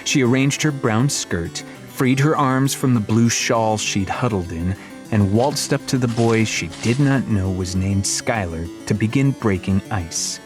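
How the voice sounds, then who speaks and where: A man's voice: on the low side, a little slow. Theatrical male audiobook narrator over music. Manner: theatrical, wry storytelling. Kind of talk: dramatic scripted narration of a middle-grade fantasy. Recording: music behind the voice.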